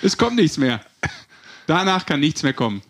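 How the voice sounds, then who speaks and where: A man's voice: fairly high pitch, very animated, moderate pace, fairly bright tone. A man hosting a podcast in a casual, conversational way, a little hesitant and wry. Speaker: podcast host.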